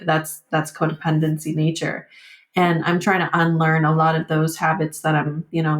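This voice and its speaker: A woman whose first language is Vietnamese, talking candidly and reflectively in an interview, halting and often saying "like" and "you know". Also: low voice, reverberant. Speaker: podcast guest.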